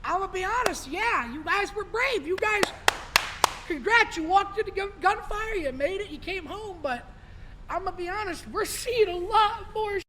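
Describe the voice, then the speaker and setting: A man with a notably high voice holds forth in casual podcast talk, rambling and emphatic, swearing freely. A faint hiss of background noise sits under the recording. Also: expressive, moderate pace. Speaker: podcast guest.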